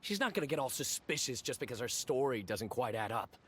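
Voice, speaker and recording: A man's voice: mid-range voice, very animated, brisk, slight background noise, natural voice. A man playing an earnest, anxious small-time businessman justifying himself in a video game. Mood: resigned, reassuring. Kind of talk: scripted video game voice acting.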